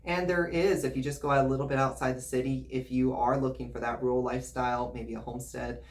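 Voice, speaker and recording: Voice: medium pitch, fairly even intonation, quick pace. A real estate agent from the United States hosting an informal, explanatory video presentation in a conversational way. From United States, grew up in Virginia and Missouri.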